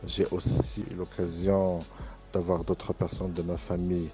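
A middle-aged man from Morocco, an Arabic speaker, giving an earnest, measured interview as a university professor, often pausing on hesitant fillers. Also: sits low, flat delivery, over music. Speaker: university professor and engineering director. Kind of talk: interview testimony for a student podcast.

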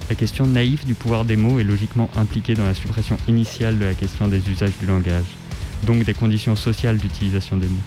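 A man reading a written text aloud on the radio in a measured, articulate, declamatory way. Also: fairly low pitch, fairly even intonation, music in the background. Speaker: radio reader. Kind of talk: scripted literary reading aloud.

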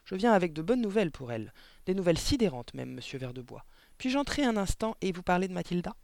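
A man's voice: high-pitched, very animated, fast talker, vocal fry, a little mellow, no echo, voice pushed up, exaggerated voice. A man voicing a young woman schoolteacher in a storybook, polite, articulate and insistent. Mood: polite, earnest.

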